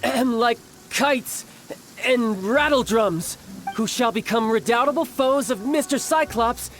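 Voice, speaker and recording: A man voicing a smooth young military officer and doting older brother in a video game, with a theatrical manner. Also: very high-pitched, unhurried, fairly bright tone, slight background noise. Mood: improvising, awkward.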